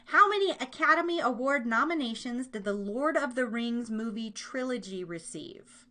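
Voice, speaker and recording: Female live-stream game host, American, higher-pitched voice, casual and chatty, playful with the audience. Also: animated, moderate pace, pushed and bright. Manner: playful, chatty, giggly asides to listeners. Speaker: live show host running a trivia game.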